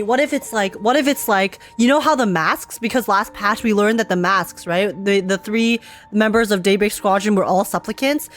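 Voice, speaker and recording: Excitable young woman streamer theorizing. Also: medium pitch. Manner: excitable, rambling, theorizing aloud. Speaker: streamer reacting to a game. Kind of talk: casual reaction commentary. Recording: faint game music behind. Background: game music.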